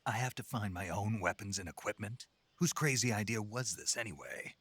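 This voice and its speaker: A gruff special forces soldier from a video game. His voice is very low and husky with a tense, forceful edge. He speaks tersely, with deadpan wit. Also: moderate pace, dry recording. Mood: incredulous. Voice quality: gravelly, husky. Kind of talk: scripted video game voice acting.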